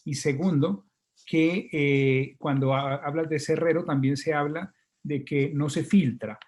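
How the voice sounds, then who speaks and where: A man's voice: on the high side, steady tone, measured pace, darkish tone. A man with a Colombian accent talking informally as a guest, courteous and explaining things step by step. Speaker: coffee company representative, guest.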